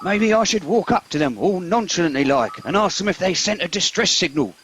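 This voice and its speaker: A man playing an excitable, high-pitched old soldier. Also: a little fast, poor audio. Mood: earnest. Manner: deferential, rambling, comically wordy. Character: eager, excitable old soldier as starship security chief. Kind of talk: comic radio play acting.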